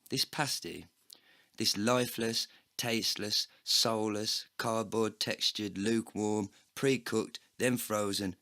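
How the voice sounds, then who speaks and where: Man acting bitter heartbroken guy narrating his own misery, deep voice with a hard edge, deadpan wordy audio play style. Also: no room sound, studio quality. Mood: ranting, despondent. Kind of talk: scripted first-person comedy narration.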